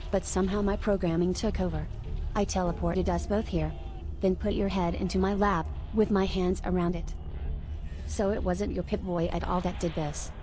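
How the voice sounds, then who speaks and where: A woman playing an emotional, apologetic android companion in a video game, speaking in a halting, casual, confiding way. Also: on the low side, steady tone, dark tone, close to an everyday voice. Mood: flustered, apologetic. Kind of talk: scripted video game dialogue. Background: music.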